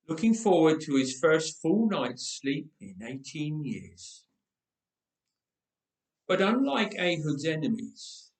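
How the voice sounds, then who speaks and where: A man reading a dramatic story aloud with measured, emphatic delivery and dramatic pauses. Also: on the high side. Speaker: storyteller, reader. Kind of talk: dramatic scripted story narration. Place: roomy church interior. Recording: echoey church, distant microphone.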